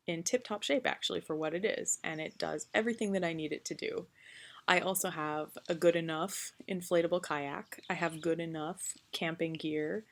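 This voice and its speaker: Reflective woman in her thirties, gravelly voice. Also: low-pitched. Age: 30s. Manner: earnest and reflective, frequent ums. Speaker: vlogger. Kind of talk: casual talk to camera.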